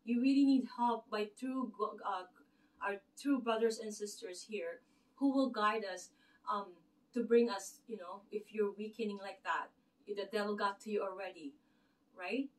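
A woman's voice: medium pitch, steady tone, normal speed. Filipino-accented woman talking from across the room. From Philippines. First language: Tagalog. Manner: earnest, rambling, many fillers and restarts. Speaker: channel host. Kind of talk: casual faith talk to camera. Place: living room with a lit Christmas tree. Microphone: camera across the room. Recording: distant camera mic in a room.

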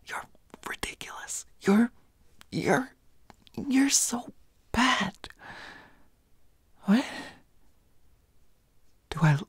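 Woman voicing a breathy, flustered pouty schoolboy. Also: fairly high pitch, sing-song, dry recording, clean studio sound, heavily acted. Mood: annoyed, flustered, then bashful, stammering. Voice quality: breathy, hushed, often whispering. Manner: stammering, flustered, halting. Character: flustered pouty teenage schoolboy, indignant but secretly smitten. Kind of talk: intimate roleplay audio acting.

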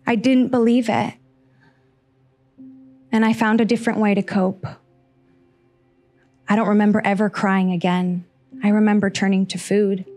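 A woman's voice: mid-range voice, darkish tone. Woman in her 30s, heartfelt stage monologue, handheld mic, faint music underneath. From Costa Rica. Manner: slow, earnest, heartfelt delivery with pauses. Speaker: storyteller on stage. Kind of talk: personal story monologue. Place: small stage in front of seated audience.

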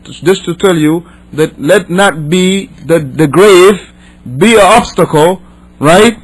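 A man with a somewhat high-pitched voice gives a religious lesson as an imam from Senegal. He is not a native English speaker. He reads and explains, repeating phrases emphatically and putting rhetorical questions to his listeners. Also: slow pace, breathy edge, poor audio. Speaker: imam teaching a religious class.

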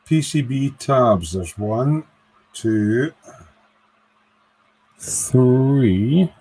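A man's voice: medium pitch, expressive, very slow, warm tone, breathy edge. A man doing a casual live build of an electronics kit, thinking aloud and counting parts in a workshop. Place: workbench in a home workshop.

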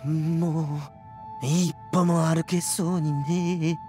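A man voicing a brash, hot-blooded, good-hearted oni gang boss in an anime game, with loud, swaggering, rough speech. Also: a little high, little pitch movement, slow pace. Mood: hearty, boisterous. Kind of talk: Japanese anime game dub acting. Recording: music under the voice.

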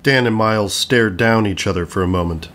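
A man's voice: lowish voice, fairly quick, a bit bright, close to an everyday voice. A man narrating an audio play in a scripted style, reading stage directions and credits. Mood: neutral. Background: music.

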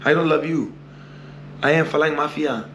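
A man's voice: a little high, normal speed, a bit bright, a little hiss or hum. A young man from Brazil with a Brazilian accent, vlogging loosely and boastfully in broken English to a camera held at arm's length in a small hotel room. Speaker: travel vlogger. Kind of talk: casual vlog talk to camera.

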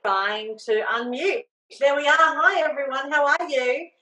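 Aussie woman chatting casually, high and unhurried, echoey kitchen. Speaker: cooking class co-presenter. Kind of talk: casual greeting. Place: home kitchen. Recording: bright echoey kitchen, distant mic.

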